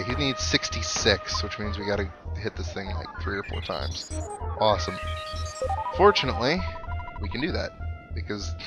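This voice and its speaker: A man giving casual, chatty video game commentary with wry asides as he plays. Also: fairly low pitch. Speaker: let's play host. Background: video game music.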